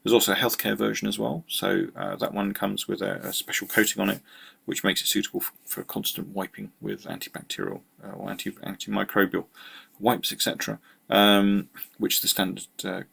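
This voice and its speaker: A British man giving an informal product walkthrough as a tech channel presenter, halting and matter-of-fact in his delivery. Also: lowish voice, creaky, a bit bright, slightly rough.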